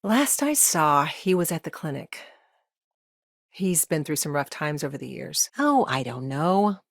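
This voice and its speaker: An actress playing a busy, dry-witted woman lawyer in a video game, dogged but friendly, with a wry, conversational and measured delivery. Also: sits low, very animated, creaky, no room sound, exaggerated voice. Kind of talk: game dialogue lines, naturalistic acting.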